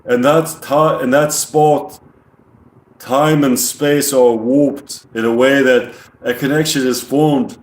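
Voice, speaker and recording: An alien played by a man speaks in a put-on English accent, middling in pitch. The delivery is very slow, deliberate and monotone, like lines read from a script, in an echoey room. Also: natural voice. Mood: flat, solemn. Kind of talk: reading a script in character.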